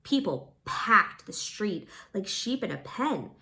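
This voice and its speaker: A woman reading a fantasy novel aloud as a volunteer narrator, expressive and informal, with the odd stumble. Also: medium pitch, takes it slowly. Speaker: audiobook-style volunteer reader.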